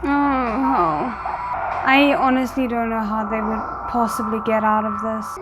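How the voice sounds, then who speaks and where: A woman's voice: a little high, steady tone, slow pace, over loud music, poor audio. A woman doing casual reaction commentary, thinking aloud in tentative asides as she watches. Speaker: reaction video host.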